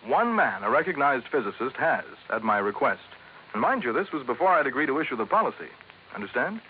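An earnest, fussy insurance executive in an old radio play, acted by a man. He talks quickly and with lots of inflection, sounding worried about business. The sound is narrow and tinny. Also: medium pitch, sing-song, natural voice. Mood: insistent. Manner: earnest, fussy, hesitant phrasing. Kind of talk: 1950s radio drama acting. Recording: thin, noisy 1950s radio recording.

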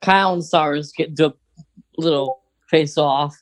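A man's voice: a little high, fairly even intonation, moderate pace, no room sound. A man co-hosting a podcast in casual chat, mostly agreeing briefly and now and then asking questions. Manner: brief backchannel agreement, laughs along, asks questions. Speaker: podcast co-host.

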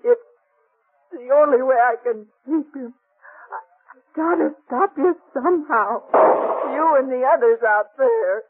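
An actress playing a desperate, defiant young frontier spinster in a 1950s radio drama, impassioned and pleading. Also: female voice, sits high, animated, measured pace, pauses often, a little hiss or hum. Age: young adult. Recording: tinny, band-limited 1950s radio recording.

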